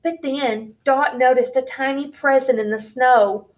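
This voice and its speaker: A woman reading a picture book aloud for young children in an expressive, deliberate way, as a storyteller. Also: high-pitched, lo-fi sound.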